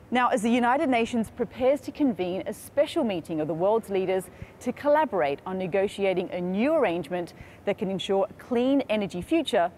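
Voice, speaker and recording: A woman hosting a live broadcast event, reading scripted links in a measured, earnest announcer style. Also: medium pitch, darkish tone, a little hiss or hum. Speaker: livestream event host.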